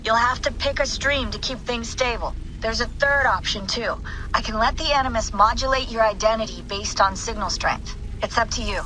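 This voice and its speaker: An actress playing a calm female technician who talks in a brisk, matter-of-fact way. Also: a little high, noisy background, lightly acted. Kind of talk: video game cutscene acting.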